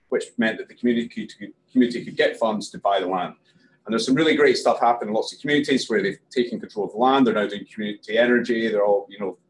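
A man in his thirties with a Scottish accent, explaining policy slowly and carefully on a panel discussion in a small meeting room, picked up by a room camera on a video call. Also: on the low side, poor audio. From Scotland. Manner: measured, explanatory, with filler restarts. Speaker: panel guest.

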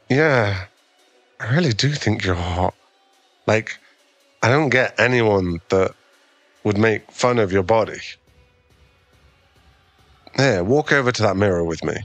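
A low-pitched, closely recorded male voice with soft music behind it. He is an actor playing a flustered, kind young gym owner in an ASMR boyfriend audio drama. His delivery is earnest and hesitant, stumbling over words as someone with a crush would. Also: no echo. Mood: bashful, encouraging. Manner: stammering, hesitant, earnest, conversational.

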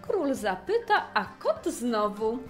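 Slow, high-voiced woman storyteller. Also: soft background music. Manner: expressive storytelling. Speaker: narrator. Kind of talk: rhymed fairy-tale narration for children.

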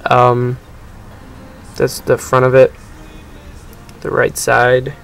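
A man's voice: medium pitch, monotone, drawn-out words, vocal fry, clean tone, soft background music. A young man casually narrating a gadget unboxing, rambling and hesitant with many ums. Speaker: unboxing video host. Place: indoors at a table.

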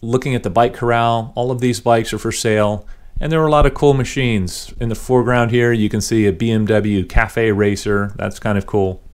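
A middle-aged man narrating a voice-over tour of a motorcycle show in a relaxed, enthusiastic, conversational way. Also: medium pitch, moderate pace, clean tone. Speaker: motorcycle channel host.

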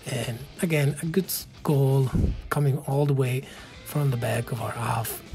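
A man in his thirties with an Italian accent, narrating his own football game footage in a calm, matter-of-fact play-by-play. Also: medium pitch, measured pace, soft background music. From Italy. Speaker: gaming channel host. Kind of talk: game commentary voice-over.

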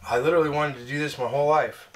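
A man's voice: a little high, steady tone, racing. A middle-aged man chatting casually and jokily while working, with a folksy manner. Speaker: vlog host doing DIY bus repairs. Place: inside a converted school bus and outdoors beside it. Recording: clear background noise, camera audio.

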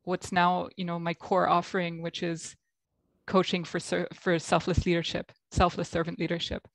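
A woman's voice: on the low side, monotone, moderate pace, gravelly, no room sound. A woman from Belgium with an American accent, a guest coach on a video call, talking reflectively with many ums. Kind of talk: interview over video call. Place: home room.

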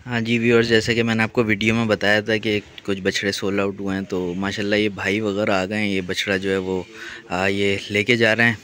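A man's voice: lowish voice, level tone, fairly quick, fairly bright tone, a little hiss or hum. A man with a Pakistani Urdu accent, chatting casually to his viewers while walking around a cattle farm outdoors, filmed on a phone. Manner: chatty, addresses viewers directly, many fillers. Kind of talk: casual vlog narration.

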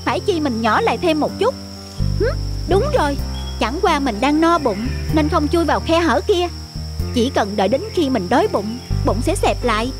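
A woman voicing an eager, greedy, stubborn wild rooster in a children's cartoon, with a self-assured, animated manner. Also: sits high, normal speed, over music. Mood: scheming, eager.

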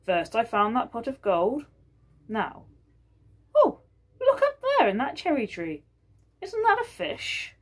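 A woman's voice: a little high, very animated, takes it slowly, long pauses, slightly rough, pitched above the performer's own voice, heavily acted. A woman voicing a sly, grumbling husband in a folktale, exasperated and muttering. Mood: excited.

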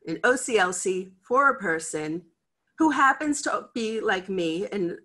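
A woman's voice: mid-range voice, expressive, a little slow, pushed and bright. A middle-aged American woman, head of a library cataloguing department, giving a chatty, candid conference talk over a video call from her office. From United States. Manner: chatty, candid, acts out quoted voices. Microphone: video call webcam.

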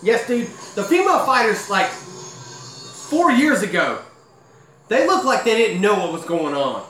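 Casting: thirties male streamer, rambling casual chat, boom mic, roomy home room with faint music. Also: sing-song, measured pace, a bit bright. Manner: chatty, rambling, says "dude" a lot. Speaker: live-stream host. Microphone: boom mic in front of him.

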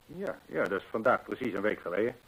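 Husky, deferential witness. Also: male voice, on the low side, expressive, fast talker, fairly bright tone, full character voice, low-quality recording. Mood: hesitant. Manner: hesitant, repeating himself, respectful. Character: deferential horse-stable employee, earnest, discreet witness. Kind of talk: Dutch radio play acting.

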